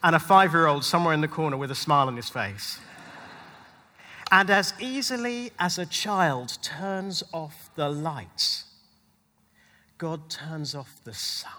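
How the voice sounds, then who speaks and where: A man preaching a sermon to a congregation in an emphatic, rhetorical manner with dramatic pauses. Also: on the high side, sing-song, bright, forceful tone, slight background noise. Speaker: pastor, guest preacher. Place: large hall at a live church event. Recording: long hall reverb.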